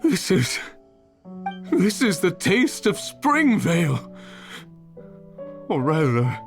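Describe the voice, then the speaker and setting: Slow, wistful old man. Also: high voice, huge pitch swings, heavily acted. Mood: wistful, savoring. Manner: halting, pensive, drawn-out. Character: wistful old male judge longing for his bygone era. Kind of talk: English video game voice acting. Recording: music playing behind the voice.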